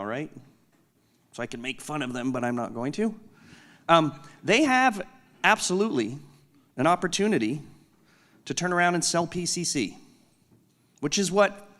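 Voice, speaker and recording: A man with a loud voice giving an unscripted conference keynote from a stage, candid and self-deprecating with joking asides. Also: mid-range voice. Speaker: company executive giving a keynote.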